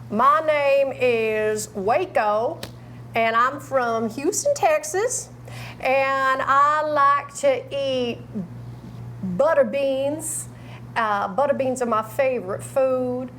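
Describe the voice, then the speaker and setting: A woman voicing a cheerful, chatty chicken puppet from Texas in a slow, sing-song, playful way. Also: high voice, fairly bright tone, a little hiss or hum, exaggerated voice.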